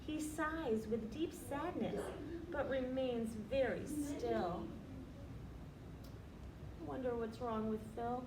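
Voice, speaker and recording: A woman with a slightly high voice tells a children's story expressively and deliberately. She sounds set back from the microphone in a roomy, echoing space with clear noise. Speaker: storyteller reading aloud to children. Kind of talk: expressive rhyming picture-book reading with asides. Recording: roomy, distant, noisy recording.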